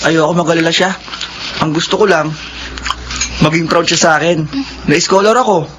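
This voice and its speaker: A man's voice: fairly high pitch, moderate pace, natural voice. An actor playing a humble, earnest young male working student with a Filipino accent in a radio drama, speaking politely. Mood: earnest, confiding. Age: young adult. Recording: noisy radio drama recording.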